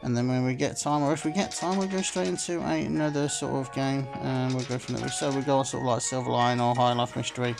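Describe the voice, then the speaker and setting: A man's voice: mid-range voice, fairly even intonation, a little fast, gravelly, hardly any pauses. A man casually commenting while playing a slot game, rambling and filling gaps with "sort of" and "like I say", sounding a bit phlegmy. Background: game music.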